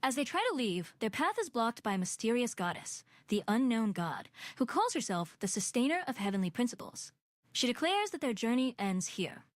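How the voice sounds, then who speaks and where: Woman narrating game lore, fairly high voice that moves around a lot, dry sound. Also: very animated, normal speed, dry recording. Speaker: story narrator. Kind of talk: scripted narration of a game's story.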